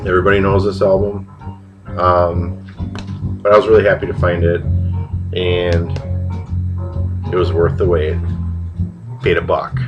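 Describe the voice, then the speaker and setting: A man with a cold, sounding a bit nasal, chatting casually and haltingly on camera about records he found, with plenty of fillers and restarts. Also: sits low, level tone, highs cut off, over music. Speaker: vinyl record collector showing finds. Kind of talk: casual video vlog.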